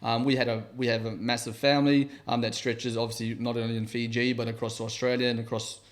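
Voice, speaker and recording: A man's voice: mid-range voice, level tone, fast. Easygoing man from New Zealand. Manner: easygoing storyteller, frequent agreeing murmurs. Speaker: podcast co-host. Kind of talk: casual chat.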